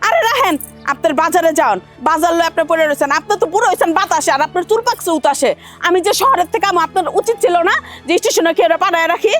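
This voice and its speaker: An actress voices a proud city woman who lashes out at her country in-laws in fast, bitter words. Her voice sits very high and swings up and down as she scolds. Faint music runs beneath the scene. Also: lively intonation, fairly bright tone. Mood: scolding. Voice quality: sneering, scolding. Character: haughty city woman scorning rural in-laws. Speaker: actress in a family drama.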